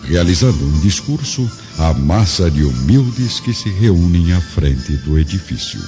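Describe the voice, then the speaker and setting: A Brazilian man reads scripted literary drama in a deep voice. His delivery is solemn, with wide swings of intonation. The recording sounds slightly dull, with music playing behind him. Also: big pitch swings, unhurried. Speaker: narrator. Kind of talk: scripted dramatic audiobook narration. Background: background music.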